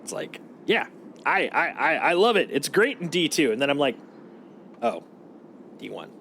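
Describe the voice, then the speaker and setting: A man's voice: fairly high pitch, very animated, normal speed, fairly bright tone, slight background noise. A man chatting casually and in a rambling way as he live streams a video game. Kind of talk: casual gaming stream chat.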